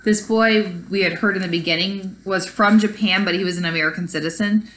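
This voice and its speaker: A woman's voice: medium pitch, fairly even intonation, brisk, a bit bright, rough recording. A woman teacher reading a children's story aloud expressively and explaining it to young pupils. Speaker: elementary school teacher.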